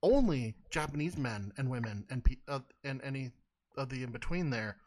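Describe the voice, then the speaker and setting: A man co-hosting a casual comedy podcast, giving absurd explanations in a deadpan, mock-serious way. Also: mid-range voice, moderate pace. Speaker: podcast co-host.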